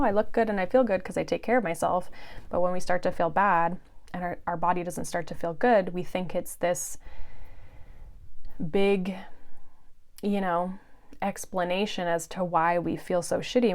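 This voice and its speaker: Chatty lower-voiced woman in her thirties. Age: 30s. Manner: chatty, frequent fillers. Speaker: podcast host. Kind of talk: casual monologue.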